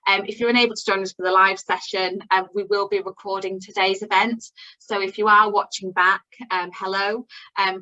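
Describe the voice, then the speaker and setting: Bright-voiced woman hosting a webinar. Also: mid-range voice, dull audio. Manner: friendly, with frequent fillers. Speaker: webinar host. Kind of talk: hosting an online event. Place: online webinar.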